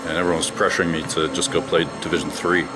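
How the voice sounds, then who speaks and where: A man's voice: low voice, flat delivery, rapid-fire. A man in his thirties who grew up in China, a former basketball player telling stories from his career in an interview. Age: 30s. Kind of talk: interview answers, storytelling. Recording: loud music underneath.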